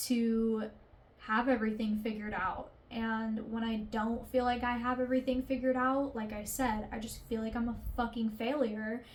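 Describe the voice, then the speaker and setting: A woman's voice: fairly high pitch, flat delivery, moderate pace. A woman in her twenties, an artist, talking candidly and emotionally in a confessional vlog, rambling with fillers and casual swearing. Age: 20s. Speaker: artist vlogger.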